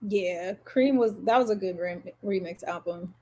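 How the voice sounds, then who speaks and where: A woman co-hosting a podcast, chatting casually and telling personal stories in a playful, expressive way. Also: medium pitch, a little slow. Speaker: podcast co-host. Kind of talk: casual chat, personal storytelling.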